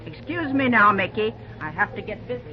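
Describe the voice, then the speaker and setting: An actress voices a kindly diner owner who is brisk and motherly and full of homely exclamations. Her pitch swings widely, and the sound is dull with a clear hiss. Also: lively intonation, lightly acted. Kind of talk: 1940s radio acting. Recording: dull old radio recording with hiss.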